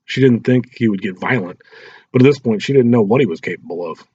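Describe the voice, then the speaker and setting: A man's voice: fairly low pitch, fast talker, a little mellow, slightly rough, no echo, dull audio. A man narrating a scripted story in an audiobook style.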